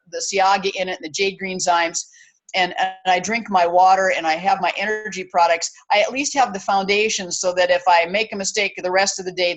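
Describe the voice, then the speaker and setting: A woman's voice: fairly low pitch, rough recording. A woman in her sixties, a wellness consultant talking casually on a panel, chatty and often restarting her sentences. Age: 60s. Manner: chatty, with frequent restarts and fillers.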